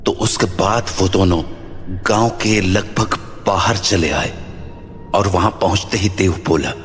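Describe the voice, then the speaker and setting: Male narrator, scripted horror storytelling: lowish pitch, long echoing tail, light music bed. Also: fairly even intonation, a little slow. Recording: long reverb, music underneath.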